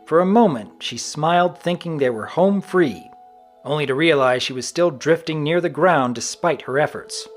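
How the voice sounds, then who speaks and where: A man's voice: a little high, expressive, normal speed, faint music behind. A man narrating a story from a script in a dramatic reading style.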